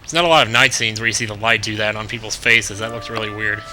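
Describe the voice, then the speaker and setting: A young American man with a fairly low voice hosts a casual let's play. He rambles along with wry asides. Game music plays clearly behind him. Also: fairly even intonation, fast talker, rough recording. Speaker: gaming video host. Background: video game music.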